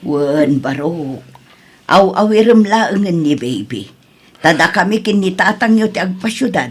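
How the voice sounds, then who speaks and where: A woman's voice: on the low side, animated, a little slow, breathy edge. A woman playing a sorrowful, pleading older mother and grandmother in an audio drama, with an Ilocano Filipino accent. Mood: reproachful, pleading.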